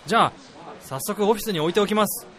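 A man playing an eager, excitable researcher who is deferential to his boss, in a comedic radio drama. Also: sits high, fast talker. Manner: exclamatory, eager. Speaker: voice actor, also narrator.

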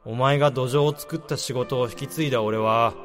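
A man's voice: mid-range voice, steady tone, normal speed, long reverb tail, faint music behind, lightly acted. An actor playing a young male section chief with a guilty conscience in a radio drama. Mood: guilt-ridden. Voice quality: breezy at first, then anguished inner monologue.

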